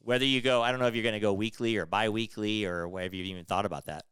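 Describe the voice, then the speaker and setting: Fast-talking nasal man hosting a podcast. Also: on the low side, fairly even intonation, fairly bright tone, clean tone, no echo. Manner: rambling, self-interrupting, chatty. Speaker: podcast host. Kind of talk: casual podcast chat.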